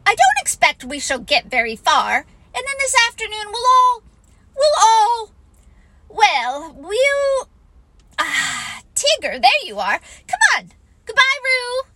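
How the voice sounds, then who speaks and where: A woman voicing a bossy, fussy male rabbit from a children's storybook, with an emphatic, hurried, flustered manner. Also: high-pitched, huge pitch swings, pushed and bright, full character voice.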